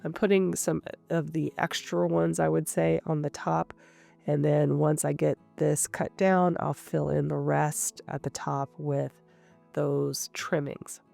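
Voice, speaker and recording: A woman with a cold, sounding nasal and a little rough, calmly narrating her craft session as the host of her channel. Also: low voice, measured pace. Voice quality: slightly rough. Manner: calm, explanatory, thinking aloud. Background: soft guitar music.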